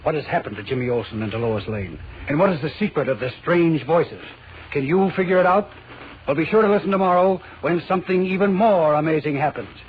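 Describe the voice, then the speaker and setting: A man's voice: fairly high pitch, brisk. An American man narrating a 1940s radio serial in a suspenseful, theatrical style. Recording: muffled, faintly noisy old radio.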